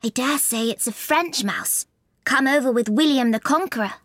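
A man's voice: very high voice, normal speed, a bit bright, dry recording, studio quality, voice pushed up. A man voicing a polite, eager young storybook girl who chatters in a gushing, apologetic way. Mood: puzzled, hopeful. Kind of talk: storybook character reading.

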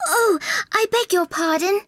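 A man's voice: extremely high pitch, animated, unhurried, a bit bright, dry recording, clean studio sound, voice pushed up, heavily acted. A man voicing a polite, eager young storybook girl who chatters in a gushing, apologetic way. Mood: apologetic, soothing. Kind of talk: storybook character reading.

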